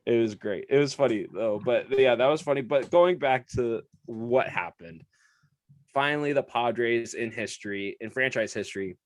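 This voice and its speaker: A man's voice: medium pitch, moderate pace, no echo. A young man co-hosting a casual sports podcast, chatty and laughing often as he talks. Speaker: podcast co-host.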